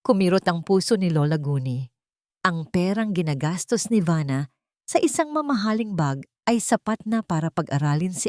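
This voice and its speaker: A woman's voice: low-pitched, a little mellow, dry recording, studio quality. A woman giving dramatic scripted narration as a storyteller.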